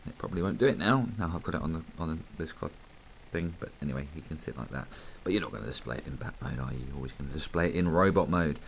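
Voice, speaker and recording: A man talks through a toy review in a very low voice, croaky and gravelly from hay fever. He rambles casually, stopping for ums and small asides as he handles the piece, with a faint hiss of noise behind him. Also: expressive, rapid-fire, hardly any pauses. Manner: chatty, rambling, full of ums.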